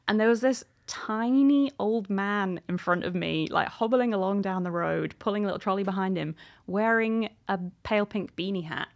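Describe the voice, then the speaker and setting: Chatty, informal woman in her thirties. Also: mid-range voice. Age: 30s. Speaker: author being interviewed. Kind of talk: casual interview chat.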